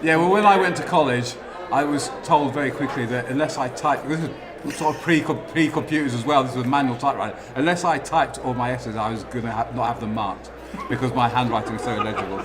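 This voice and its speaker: Quick-talking northern English man, crowd behind. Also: medium pitch. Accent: posh northern English, blended northern and southern. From north of England. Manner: self-deprecating, rambling storyteller. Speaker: guest artist. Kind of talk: casual chat while signing cards. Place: large convention hall. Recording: echoey hall with loud crowd.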